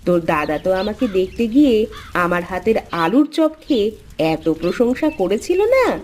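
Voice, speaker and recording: A woman voicing a chatty, expressive village housewife and sister-in-law in a Bengali cartoon. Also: mid-range voice, moderate pace, a little hiss or hum, lightly acted. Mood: affectionate.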